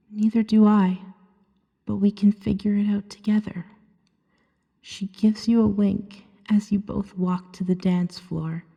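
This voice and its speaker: A woman voicing a seductive, gently hypnotic female vampire in a soft ASMR roleplay, breathy and often near a whisper, coaxing and soothing as she tells a story to the listener. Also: medium pitch, dull audio, exaggerated voice. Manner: slow, soothing, hypnotic second-person narration. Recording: long hall-like reverb.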